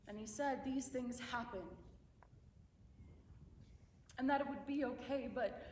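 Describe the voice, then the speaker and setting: A young woman performing a dramatic monologue as a remorseful mother writing to her estranged son, speaking haltingly with stumbling restarts. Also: fairly high pitch, close to an everyday voice. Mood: tearful. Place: competition room, indoors. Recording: echoey room, distant mic, faint background hum.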